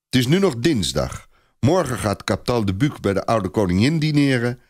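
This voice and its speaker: A man playing a haughty French viscount, lively pitch. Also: moderate pace. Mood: haughty, decisive. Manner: haughty, proclaiming.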